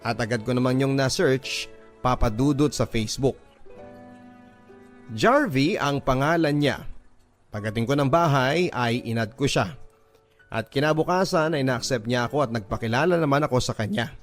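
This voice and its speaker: A man's voice: mid-range voice, animated, normal speed, faint music behind. A man reading a scripted first-person love story as narration for a radio drama.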